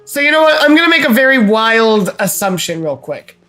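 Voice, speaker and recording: A man's voice: very high-pitched, big pitch swings, brisk, bright, forceful tone. Casual male game streamer, musing aloud. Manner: musing, theorizing, hedging with fillers. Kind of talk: casual live gameplay chat.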